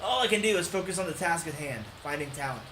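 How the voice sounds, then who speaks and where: A man's voice: fairly high pitch, lively intonation, quick pace, slight background noise. A man hosting a let's play, reading a visual novel aloud and sometimes tripping over lines. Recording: roomy voice under loud game music. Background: loud music.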